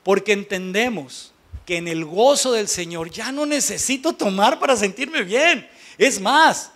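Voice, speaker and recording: A Mexican pastor with a notably high voice for a man delivers a sermon to his congregation. He talks in a colloquial, conversational register, blunt and emphatic, often putting rhetorical questions to his listeners. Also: a bit bright.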